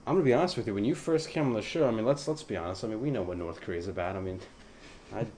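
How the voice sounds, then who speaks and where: Man, low voice, blunt deadpan co-host, faint room ambience. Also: racing, a little hiss or hum. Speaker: radio show co-host. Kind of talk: deadpan comedy banter.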